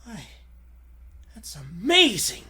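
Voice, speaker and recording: A man playing an earnest, easily astonished newspaper reporter with halting, exclamatory delivery, in 1940s radio serial style. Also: sits high, wildly swooping pitch, pushed and bright, heavily acted. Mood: astonished, polite.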